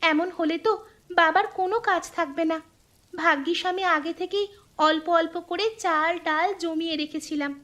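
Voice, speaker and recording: A woman's voice: very high voice, steady tone, normal speed, fairly bright tone. A woman voicing an earnest, worried young daughter of a poor labourer in a cartoon folk tale, with a plaintive manner.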